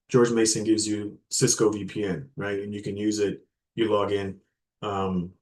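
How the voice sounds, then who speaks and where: A man in a university classroom delivering an informal guest talk. His voice sits in an ordinary middle pitch, and his delivery is loose and conversational, with many fillers and restarts. He breaks off now and then to explain terms simply for his listeners. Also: darkish tone. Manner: casual and conversational, with many fillers and repeated words; explains terms plainly for the audience. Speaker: guest lecturer. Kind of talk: informal university lecture to students.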